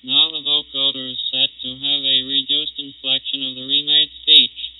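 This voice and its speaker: A man giving a formal, measured technical demonstration in 1930s style, his speech turned synthetic and robotic by a vocoder. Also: medium pitch, level tone, clear, resonant tone, no echo. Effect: synthetic robotic vocoder processing. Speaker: laboratory demonstrator. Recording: muffled, phone-like 1939 test disc recording.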